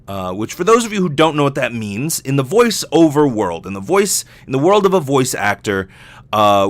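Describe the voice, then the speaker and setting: A young man hosts a solo podcast in a very dry recording with no room sound. His voice sits at a medium pitch. He talks in a candid, rambling, conversational way and is loose with swearing. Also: fairly bright tone, no echo. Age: young adult. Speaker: podcast host, voice actor. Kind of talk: casual solo podcast monologue.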